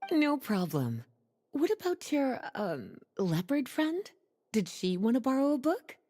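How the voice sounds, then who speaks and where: A woman's voice: on the high side, very animated, measured pace, warm tone, a little hiss or hum. A woman acting as a gracious, playfully teasing librarian in a video game, poised and courteous in her delivery. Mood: polite, teasing.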